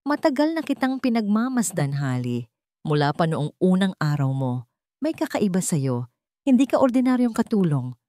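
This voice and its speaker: A woman voicing an elderly housekeeper in a story, calmly menacing and bitter. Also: on the low side, normal speed, no room sound, studio quality. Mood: cold accusing. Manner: calm, cold delivery.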